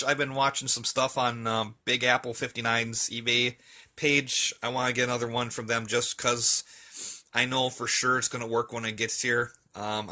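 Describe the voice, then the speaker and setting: A man's voice: mid-range voice, sharp tone. A man giving a casual, off-the-cuff video tour of a hobby collection, hesitant and searching for words, recorded indoors on a handheld camera's mic. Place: indoor room with shelves of radios. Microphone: handheld camera mic, behind the camera.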